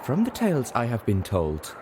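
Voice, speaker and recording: A man's voice: medium pitch, wildly swooping pitch, normal speed, noisy background, pitched above the performer's own voice. A man voicing a weary, reasonable zebra mare, earnest and sad, with careful formal phrasing.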